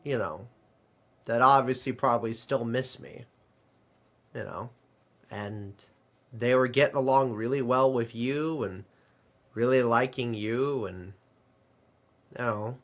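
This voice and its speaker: A man playing an anxious, weary, homesick space adventurer in an amateur sci-fi audio drama, speaking hesitantly and earnestly, with pauses mid-sentence. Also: mid-range voice, fairly bright tone. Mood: anxious, pensive.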